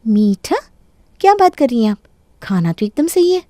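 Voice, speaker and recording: Woman playing a high-voiced, sugary young bride. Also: big pitch swings, normal speed, dark tone, no echo, clean studio sound. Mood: mock innocent. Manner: mock-innocent, pleading, sugary. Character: sly, sweetly apologetic young daughter-in-law. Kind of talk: Hindi cartoon story voice acting.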